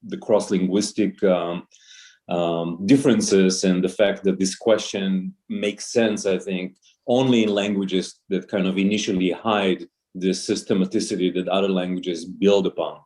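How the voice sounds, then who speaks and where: A man's voice: low voice, steady tone, measured pace, darkish tone. A man who is a native Hebrew speaker, thinking aloud as he puts a hedged question in an academic discussion. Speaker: audience member asking a question.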